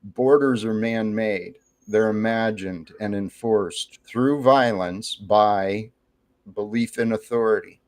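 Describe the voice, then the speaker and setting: A man's voice: lowish voice, slow, a little mellow. A man speaking as a debate guest, making earnest, idealistic declarations. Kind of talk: informal debate.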